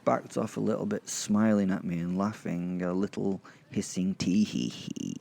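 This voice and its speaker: A man narrates a horror audio drama in character. His low voice is heavy with creak and dark in tone. He tells it sardonically, halting and repeating phrases for dramatic weight. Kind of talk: first-person dramatic storytelling in character.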